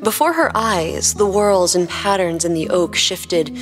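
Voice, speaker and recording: A woman's voice: on the low side, lively intonation, measured pace. A woman host reading humorous fan fiction as scripted narration. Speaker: podcast host and story narrator. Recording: long reverb, music behind the voice.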